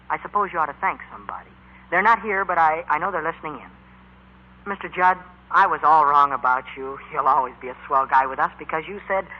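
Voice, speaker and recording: Man playing an eager, high-voiced American teenage boy. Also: natural voice. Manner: breathless, stammering earnestness. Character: eager, earnest teenage boy bandleader, excitable and grateful. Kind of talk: 1940s radio acting. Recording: muffled, hissy 1940s radio recording.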